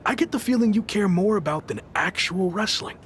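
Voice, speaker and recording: An actor playing an earnest, principled underdog wrestler, firm and serious, in a video game cutscene. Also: male voice, sits high, expressive, fairly quick, fairly bright tone, slight background noise. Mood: defiant.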